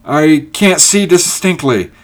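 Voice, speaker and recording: A man voicing a proud, excited and urgent nobleman in a dramatic storybook reading. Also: on the low side, moderate pace, natural voice.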